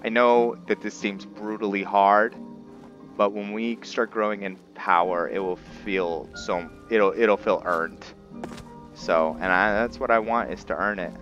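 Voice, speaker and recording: Low voiced guy doing chill game roleplay commentary, talks slow, kinda trails off, faint music behind. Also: fairly even intonation, a bit bright, highs cut off. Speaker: video game streamer. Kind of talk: casual roleplay gameplay commentary. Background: background music.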